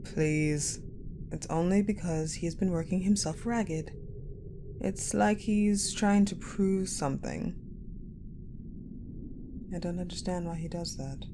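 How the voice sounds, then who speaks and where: An ice-queen general acted by a woman, with a lowish voice and very unhurried delivery. She is haughty, guarded and possessive, weighing each phrase with deliberate pauses in a dark roleplay drama. Clear ambient noise sits behind her voice. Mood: dry, guarded. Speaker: audio roleplay voice actor. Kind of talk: dramatic audio roleplay monologue. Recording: clearly noisy, ambient sound bed.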